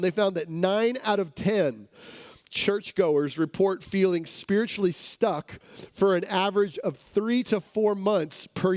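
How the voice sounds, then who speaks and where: A high-pitched man preaches with emphatic repetition and calls for the crowd to answer. His voice is strained from a recent illness. The sound is dry and close. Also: darkish tone, no echo. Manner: emphatic preacher, repeats words for stress, calls for responses. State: recovering from sickness, voice strained. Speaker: pastor. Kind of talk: sermon to a congregation.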